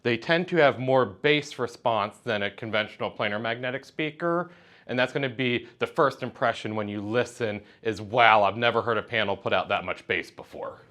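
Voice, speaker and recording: A man's voice: mid-range voice, moderate pace, a bit bright. A man giving a precise, matter-of-fact technical explanation as a product representative in conversation. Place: hi-fi trade show exhibit.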